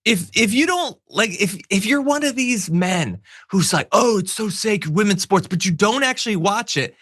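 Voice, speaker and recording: A man's voice: high-pitched, expressive, normal speed, fairly bright tone, breathy edge, no room sound, studio quality. A man hosting a political talk show, giving casual, opinionated commentary in an emphatic, informal, wry way.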